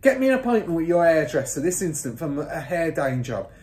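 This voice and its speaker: Lady doing a yelling storybook dad, indignant and flustered, voice pushed up high, echoey room. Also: very animated, a little fast. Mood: frantic bossy. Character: blustering, loud, panicky, vain father, a car salesman. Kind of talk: children's storybook character voice.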